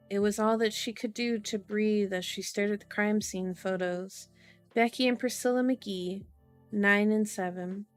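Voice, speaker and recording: A woman's voice: medium pitch, clear, resonant tone. A woman reading a novel aloud in an ASMR style, with informal, giggly asides, as a streamer. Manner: giggly, self-interrupting, informal.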